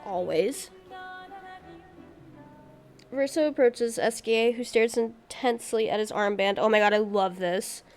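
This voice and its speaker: A woman's voice: on the high side, a little slow, long pauses, a little mellow, faint music behind. A woman streaming a game, playful and casual, reading narration aloud and dropping in her own asides. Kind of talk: playthrough commentary, reading narration text aloud.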